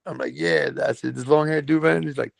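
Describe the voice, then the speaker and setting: A middle-aged man from Brooklyn with a New York accent, telling profane, animated stories as a guest on a podcast. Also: on the high side, brisk, gravelly, no room sound, clean studio sound. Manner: profane, animated storytelling with voiced quotes. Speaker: guest, metal vocalist. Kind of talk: casual storytelling.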